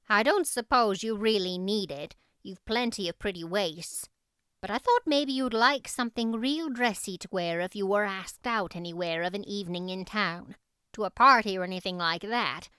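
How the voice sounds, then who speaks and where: A woman's voice: mid-range voice, moderate pace, sharp tone, clean tone, no echo, clean studio sound, natural voice. A woman voicing a practical, reserved older country woman with a tender core, plainspoken and matter-of-fact, in an audiobook. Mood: brisk, kindly.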